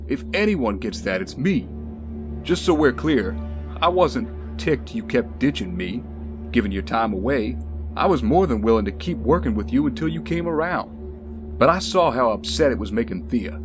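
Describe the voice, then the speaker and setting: An actor playing a blunt, protective former bodyguard in an audio drama, firm and reproving. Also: male voice, medium pitch, sing-song, normal speed, natural voice. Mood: frank, challenging. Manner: firm, plain-spoken, reproving. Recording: dramatic music behind the voice.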